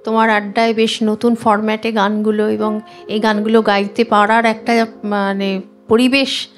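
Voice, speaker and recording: A woman's voice: mid-range voice, little pitch movement, normal speed. A woman speaking casually as a guest singer on a music show. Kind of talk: casual talk, introducing a song.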